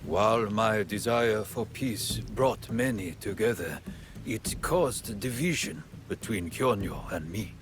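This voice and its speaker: Grave older leader, game drama, measured, deep, slow. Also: male voice, animated, noisy background. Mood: solemn, regretful. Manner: measured, solemn, deliberate. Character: grave, regretful older father and religious leader. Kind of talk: video game voice acting.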